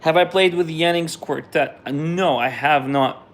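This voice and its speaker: A middle-aged man from Israel, whose first language is Russian, casually reads out viewer questions and answers them in a relaxed, conversational way, often trailing off and restarting. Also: a little high, measured pace. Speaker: musician hosting a viewer Q&A.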